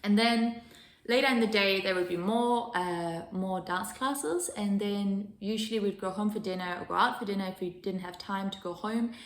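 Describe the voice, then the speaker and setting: A woman's voice: mid-range voice, normal speed. Woman from denmark, earnest and enthusiastic, fillers and restarts, roomy echo. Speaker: wellness coach and host. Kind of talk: solo self-introduction on a sofa. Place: living room sofa.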